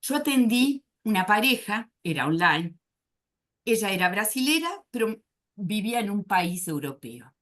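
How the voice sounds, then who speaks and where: A woman presents to a panel in an academic, reflective way. Her pitch moves a lot, rising and falling as she reaches for words, with frequent small hesitations. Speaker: psychoanalyst panelist. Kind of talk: academic conference talk.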